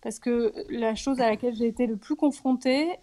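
A woman in her forties speaking candidly about her personal life in an interview, reflective and hesitant, often pausing on fillers. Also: fairly high pitch, fairly even intonation, warm tone. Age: 40s.

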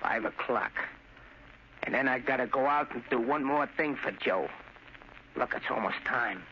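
A man's voice: medium pitch, normal speed, natural voice. An actor playing an unstable young killer in an old-time radio thriller, agitated, slangy and childlike in his obsession. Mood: uneasy, insistent. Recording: noisy, muffled vintage radio recording.

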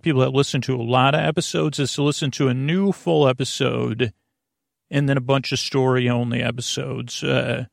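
A man hosting a bedtime podcast, talking in a meandering, halting way and often correcting himself as he winds listeners down for sleep. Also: mid-range voice, creaky, no room sound, studio quality. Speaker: podcast host. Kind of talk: rambling bedtime podcast intro.

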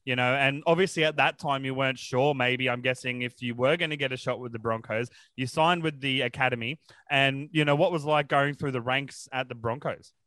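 Casting: male Aussie podcast interviewer, crisp clean tone, very dry sound, matey and keen, casual phrasing. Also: mid-range voice, a little fast, bright, forceful tone, no echo. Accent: Australian. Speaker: sports podcast host.

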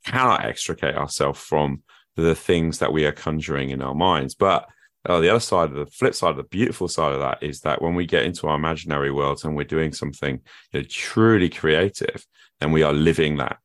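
Male podcast host, rumbling deep register, reflective and searching. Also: a little fast, fairly bright tone. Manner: reflective, earnest musing. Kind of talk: conversational interview.

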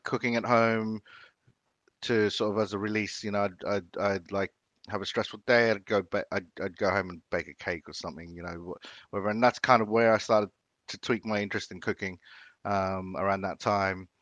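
A man's voice: fairly low pitch, fairly even intonation, normal speed, a bit bright, no room sound, muffled recording. A man, talking casually in an interview, with many fillers and restarts. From Philippines, raised in Sydney. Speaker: chef.

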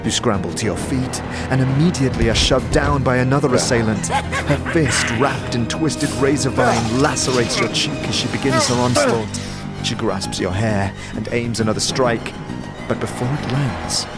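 A man narrating a fantasy audio drama with measured, theatrical storytelling in a dramatic scripted style. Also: mid-range voice. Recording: prominent music behind the narration.

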